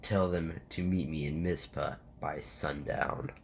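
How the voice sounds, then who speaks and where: A man's voice: a bass voice, fairly even intonation, measured pace, gravelly, full character voice. A man acting a stern, resolute military captain burdened by guilt, with a commanding manner, in an amateur audio drama.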